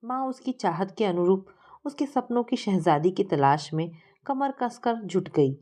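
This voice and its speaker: A woman's voice: mid-range voice, expressive, measured pace, warm tone. A woman narrating a scripted story as a storyteller.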